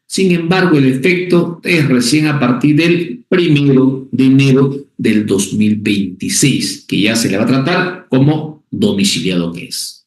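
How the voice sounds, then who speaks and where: A man's voice: medium pitch, measured pace, few pauses. A man teaching a tax class, repeating key points and checking in with short questions. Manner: repetitive, checks in with "¿ok?" and "¿ya?"; stresses key words by repeating them. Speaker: tax instructor. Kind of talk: recorded class lecture. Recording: echoey room.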